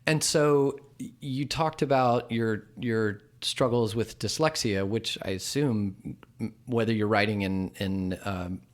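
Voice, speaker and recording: A man hosting a podcast interview, gentle and encouraging, with many small murmurs of assent. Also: on the low side, measured pace. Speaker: podcast host.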